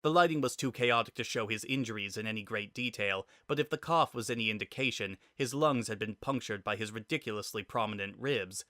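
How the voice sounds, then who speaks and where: Male story narrator, crisp bright edge, expressive, dramatic reading, very dry sound. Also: on the low side, a little fast, hardly any pauses, no room sound.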